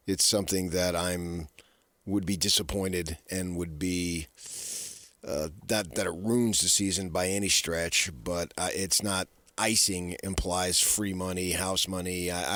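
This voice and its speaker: A man with an American accent and a notably low voice co-hosts a sports discussion. He talks casually, feeling his way through halting, reworked phrases. The sound is close and dry. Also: fairly bright tone, dry recording. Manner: hesitant, halting phrasing with self-corrections. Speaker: sports radio co-host.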